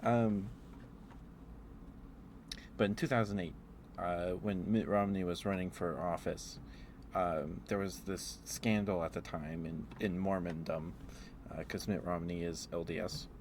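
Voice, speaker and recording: A man with a low-pitched voice chats in a casual ASMR style. He meanders through stories, halting and circling back as he goes. There is clearly audible background noise behind him. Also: steady tone, darkish tone. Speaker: ASMR streamer. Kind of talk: rambling casual ASMR chat.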